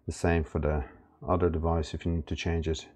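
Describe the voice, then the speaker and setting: A man narrating a casual step-by-step product setup tutorial in a plain, halting instructional way. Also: low-pitched, little pitch movement, darkish tone. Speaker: product demonstrator. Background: background music.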